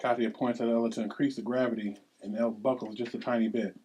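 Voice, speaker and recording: A man serves as narrator for a table read. He reads screenplay headings and action lines in a medium-pitched voice. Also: little pitch movement, fast, darkish tone, breathy edge, low-quality recording. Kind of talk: reading screenplay directions aloud.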